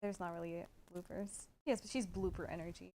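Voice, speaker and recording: A woman's voice: on the low side, fairly even intonation, brisk, mellow, a little hiss or hum. A woman chatting casually as a voice actor on a panel, with a playful, giggly manner. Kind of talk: casual chat.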